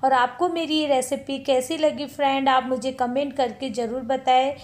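A woman's voice: sits high, fairly even intonation, normal speed. A woman hosting a cooking channel, chatting step by step through a recipe in a home kitchen and calling her viewers friends.

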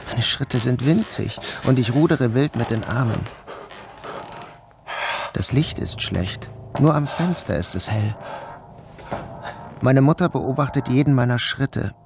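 An actor playing a troubled, dreamy grown son who narrates his surreal day in a measured, introspective way. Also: mid-range voice. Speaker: narrator and protagonist. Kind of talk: first-person literary radio play narration. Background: music.

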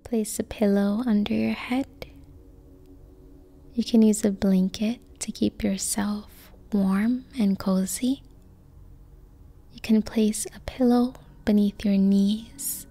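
Very slow, breathy woman guiding meditation. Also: mid-range voice, soft background music. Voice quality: soft, breathy, near-whispered. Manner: calm, gentle, long pauses between short phrases. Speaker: yoga nidra meditation guide. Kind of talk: slow guided sleep meditation.

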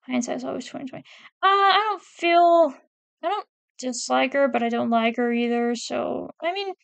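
A woman's voice: sits high, moderate pace, gravelly, a bit bright, dry recording. A woman casually talking through a ranking of game characters, hesitant and self-correcting as she reads out wiki quotes. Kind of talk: casual commentary while reading a wiki.

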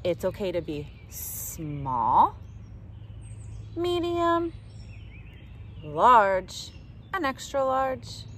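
A middle-aged woman reading a picture book aloud to young children in an animated, direct way, recorded outdoors in the woods. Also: mid-range voice, extremely slow, pauses often. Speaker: children's storytime reader. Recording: outdoor ambient noise.

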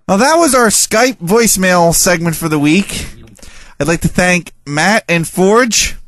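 A man's voice: sits high, lively intonation, takes it slowly, fairly bright tone, no echo. A man hosting a radio show in casual chat, teasing and mocking. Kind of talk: casual chat, reacting to listener voicemails.

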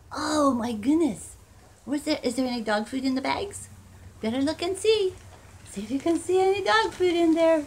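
A woman's voice: high voice, a little hiss or hum. An older woman chatting casually as she unpacks her shopping bags at home, rambling and cooing at her dogs. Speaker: vlogger. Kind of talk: casual haul vlog. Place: home porch and carpeted room.

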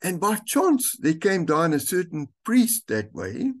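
An older man, a pastor, reading scripture aloud and preaching a sermon slowly and deliberately from a room at home. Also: sits high, wildly swooping pitch. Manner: slow, deliberate reading with stretched words. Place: home room with curtains, indoors.

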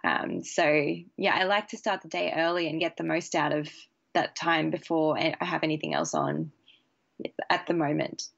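A young woman, a bassist chatting casually as a podcast guest in a relaxed, easy way. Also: normal speed, gravelly, dull audio. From Australia. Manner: relaxed, chatty, laughs easily. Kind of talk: casual interview chat.

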